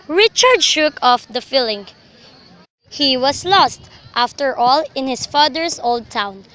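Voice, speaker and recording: Bright, high-pitched young woman narrating. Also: rough recording. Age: young adult. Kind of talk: scripted radio drama narration, storytelling.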